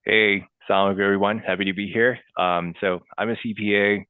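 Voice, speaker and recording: A man talks through a podcast interview in a very low, smooth, resonant voice. He keeps it chatty and peppers his points with "you know" and "right". The sound is tinny and phone-like, with a dry, close feel. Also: fairly even intonation, quick pace, no room sound. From Pakistan. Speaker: guest accountant. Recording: tinny dry call audio.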